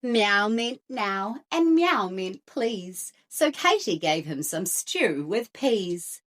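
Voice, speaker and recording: Female children's storyteller: bright, very animated, unhurried, rhythmic. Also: medium pitch. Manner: expressive, deliberate rhythmic phrasing. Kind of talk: children's picture-book read-aloud.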